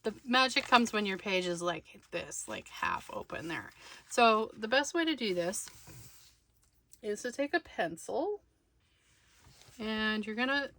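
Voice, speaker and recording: A woman's voice: medium pitch, very animated, a little slow, pauses often, fairly bright tone. A woman narrating a hands-on craft tutorial casually, thinking aloud as she works. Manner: informal, thinking aloud while working.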